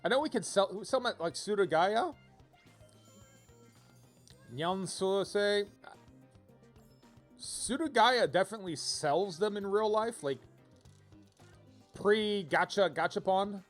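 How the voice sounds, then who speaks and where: A man's voice: high voice, expressive, takes it slowly, pauses often, faint music behind. A man casually commentating on a video game as he streams, rambling and thinking aloud as he reads out options.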